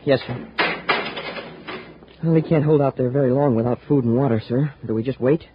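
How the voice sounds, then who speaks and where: A man's voice: mid-range voice, normal speed, lightly acted. A man playing an eager, deferential young cadet sidekick in a 1950s radio adventure, boyish and full of questions. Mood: deferential, questioning. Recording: muffled, faintly noisy 1950s radio recording.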